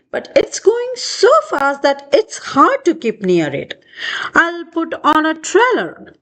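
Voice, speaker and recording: Slow, high-pitched, animated woman storyteller. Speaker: children's book reader. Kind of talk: expressive storybook read-aloud.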